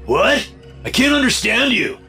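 Man acting a strained, gravelly, menacing hostage-taker. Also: very high-pitched, sing-song, a little slow, sharp tone, soft background music, pitched above the performer's own voice, full character voice. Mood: savage mockery. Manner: taunting, explosive, demanding. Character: menacing adult male hostage-taker, volatile, mocking. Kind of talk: audiobook character acting.

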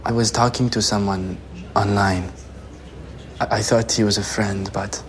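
An actor playing a guarded teenage boy from Syria who speaks in a hesitant, halting way. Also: on the low side, steady tone, natural voice. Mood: wary. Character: guarded teenage boy student, anxious and wary.

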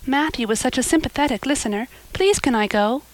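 A woman voicing a breathless, excitable and dreamy young girl in a storybook, chattering and gushing dramatically. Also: high-pitched, brisk, no echo, voice pushed up, natural voice. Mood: eager, pleading. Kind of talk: audiobook character acting.

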